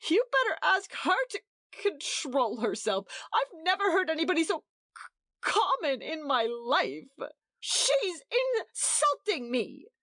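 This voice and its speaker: A woman's voice: very high voice, sing-song, takes it slowly, fairly bright tone, dry recording, voice pushed up, full character voice. A woman voicing a distraught young wife who sobs and stammers as she protests. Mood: sobbing. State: crying.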